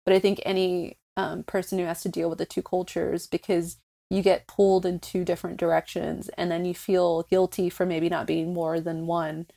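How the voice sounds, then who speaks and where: A chatty woman from the United States talks through a TV show on a casual podcast. Her voice sits fairly low, and she often restarts her sentences and laughs lightly as she goes. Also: flat delivery, moderate pace, gravelly, dark tone. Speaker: podcast co-host. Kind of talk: casual chat discussing a TV show.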